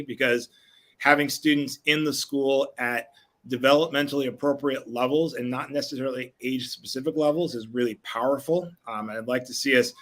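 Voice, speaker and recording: A man's voice: medium pitch, pushed and bright. A middle-aged man, a physical education teacher, speaking reflectively in a panel discussion and rephrasing as he goes.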